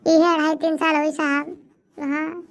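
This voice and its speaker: Very high-pitched melodramatic Bhojpuri village housewife. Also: female voice, little pitch movement. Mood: earnest, fond. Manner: melodramatic, wailing, drawn-out lamenting. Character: dramatic, doting village housewife grieving her lost buffalo. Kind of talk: comedy cartoon voice acting.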